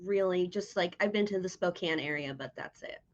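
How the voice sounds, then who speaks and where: A woman's voice: lowish voice, moderate pace, highs cut off. A woman with an American accent interviewing a guest in a casual, conversational way. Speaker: podcast interviewer, host.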